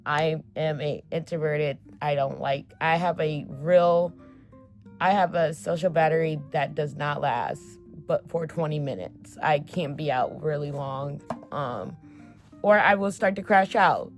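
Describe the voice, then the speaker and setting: A young woman in her twenties talks straight to her camera in a relaxed get-to-know-me vlog. Her voice sits low and she takes her time, chatty and filler-heavy with casual swearing. Faint music plays underneath. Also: a little slow. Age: 20s. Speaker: vlogger.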